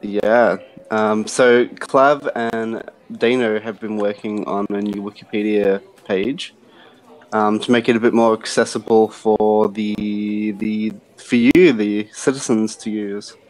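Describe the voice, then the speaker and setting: A man's voice: on the low side, little pitch movement, slow pace, darkish tone, low-quality recording. A man talking on a live stream in an easygoing, joking way, with frequent "um"s. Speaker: community team member. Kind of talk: casual live-stream chat.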